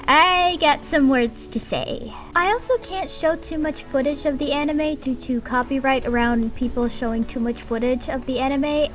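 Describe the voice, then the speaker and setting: A woman reviewing an anime in a casual, snarky way, swearing freely and tossing in playful asides. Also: high voice.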